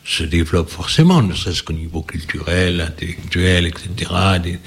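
Deep husky guy on a debate panel, slow and thoughtful, lots of pauses. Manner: measured, hesitant, many pauses. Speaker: panel guest. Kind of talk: reflective debate talk.

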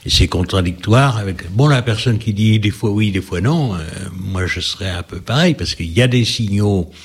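A man speaking as a guest in a panel discussion, measured and hesitant with many pauses. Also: deep voice, husky. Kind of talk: reflective debate talk.

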